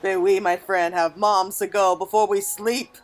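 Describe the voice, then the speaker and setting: A self-satisfied gentleman treasure collector from a fantasy game, read out by a woman. The speech is flowery and archaic, delivered over game music. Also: medium pitch, steady tone, a little slow, close to an everyday voice. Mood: delighted, grandiose. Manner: florid, archaic, theatrical storytelling diction. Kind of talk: reading game cutscene dialogue aloud.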